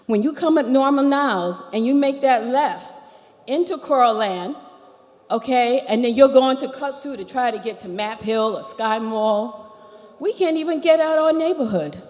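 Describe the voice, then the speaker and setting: A woman's voice: fairly high pitch, moderate pace, a little mellow. A woman from Barbados with an American accent, speaking as a resident at a public meeting and laying out her complaints point by point. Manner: earnest and methodical, listing complaints point by point. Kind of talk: public comment at a town hall. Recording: echoey hall.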